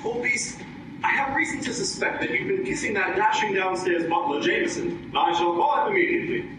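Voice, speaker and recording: A man's voice: high-pitched, sing-song, brisk, recorded from a distance, noisy background. A man playing a pompous, stiff upper-class English husband in a radio comedy parody. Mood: prim, indignant. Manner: pompous, prim, exclamatory. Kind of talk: old-time radio comedy sketch. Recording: echoey stage recording, music behind.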